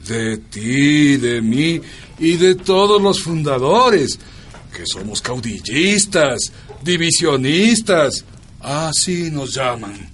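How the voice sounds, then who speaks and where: An actor playing an earnest, aggrieved veteran doctor and party comrade in a radio drama, speaking emphatically with an Ecuadorian accent. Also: male voice, a little high, big pitch swings, takes it slowly, fairly bright tone, a little hiss or hum, exaggerated voice. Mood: bitter.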